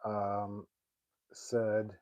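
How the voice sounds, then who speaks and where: Low, mellow man from behind the camera. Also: completely flat delivery, very slow, no echo. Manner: brief, low-key interjections. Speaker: cameraman and chat moderator. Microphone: off-camera, away from the mic.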